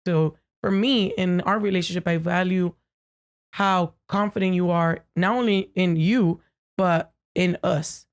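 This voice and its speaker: A woman co-hosting a podcast with a deep voice, talking earnestly to a friend and often restarting her sentences. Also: fairly even intonation. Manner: earnest, halting with many restarts. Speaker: podcast co-host. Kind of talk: casual heartfelt chat. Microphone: podcast microphone, no camera.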